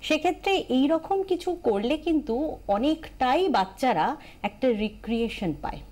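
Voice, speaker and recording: A woman's voice: sits high, expressive, unhurried, a little mellow. A woman with an Indian Bengali accent giving explanatory advice as a TV expert guest, emphatic, repeating key phrases and dropping in English terms.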